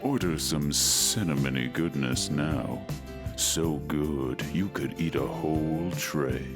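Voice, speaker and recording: A man reading a scripted advertisement as an announcer, in a smooth sales style. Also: a bass voice, slow pace. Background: music.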